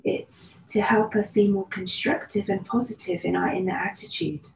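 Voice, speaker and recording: A woman leading a guided meditation, speaking slowly and soothingly with long pauses. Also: mid-range voice, fairly even intonation, creaky. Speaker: meditation and yoga teacher. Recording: roomy echo with faint background noise.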